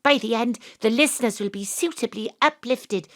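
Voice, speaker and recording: A woman with a Welsh accent playing an excitable, bossy private investigator in a theatrical audio drama, talking to the audience. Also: on the high side, normal speed, lightly acted. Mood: bossy, eager. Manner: theatrical, exclamatory, addresses the audience. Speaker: lead actor. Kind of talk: panto-style audio drama acting.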